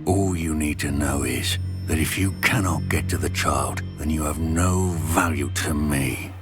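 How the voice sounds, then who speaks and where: An actor playing a cold, threatening male goblin schemer in a video game, with a hard, flat way of making demands. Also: extremely low pitch, measured pace. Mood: menacing. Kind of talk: video game cutscene acting. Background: game score music.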